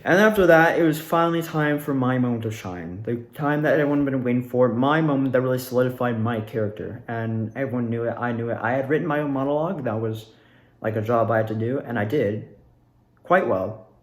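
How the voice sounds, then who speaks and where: A man's voice: mid-range voice, brisk. Young male amateur actor, mid-range voice, informal storyteller, echoey indoor recording. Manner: animated retelling, acts out quoted lines. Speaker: amateur actor recounting own performance. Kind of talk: casual self-interview storytelling. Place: indoors at home. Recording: echoey room.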